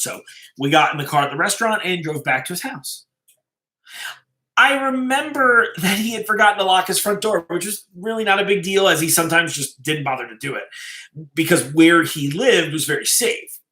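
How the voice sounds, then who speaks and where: A man reading a suspenseful story aloud as a storyteller, in a measured, dramatic way with pauses. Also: sits high, lively intonation, pushed and bright. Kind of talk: live dramatic story reading, first-person narration. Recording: roomy, echoey live-stream sound.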